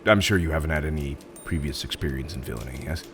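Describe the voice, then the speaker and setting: A man's voice: very deep voice, fast, a bit bright, slight background noise. A man playing a theatrical, polite but exasperated supervillain interviewer in a roleplay audio drama, hesitant and mock-professional, prone to chuckling. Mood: amused, businesslike.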